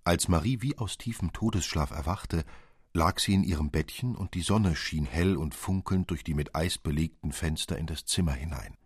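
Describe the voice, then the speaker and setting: A man narrating a literary fairy tale for radio as an expressive storyteller. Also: low-pitched, moderate pace, husky, no echo, studio quality.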